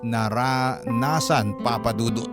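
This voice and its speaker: A man narrating an emotional scripted first-person story for a radio drama, measured and earnest. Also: medium pitch, steady tone. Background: prominent background music.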